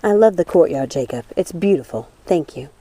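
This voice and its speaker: A woman voicing a gentle young witch who speaks politely and earnestly in a storybook reading. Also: on the low side, expressive, a little fast.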